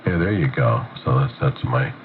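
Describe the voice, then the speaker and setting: A very deep-voiced man talks casually over the air, his voice muffled and congested from a cold. The sound comes through a tinny radio receiver under a layer of clear static. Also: normal speed. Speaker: ham radio operator.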